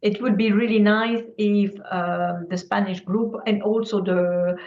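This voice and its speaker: A woman's voice: medium pitch, fairly even intonation, measured pace. A woman moderating an online meeting, hesitant with many fillers as she hands the floor to speakers. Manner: hesitant, filler-heavy, handing over the floor. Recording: echoey, dull lo-fi audio.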